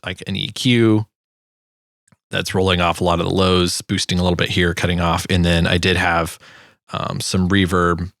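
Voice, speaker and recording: A man's voice: sits low, gravelly, a bit bright, dry recording, clean studio sound. A man giving an informal, self-deprecating walkthrough of his own sound design project, as in a casual tutorial.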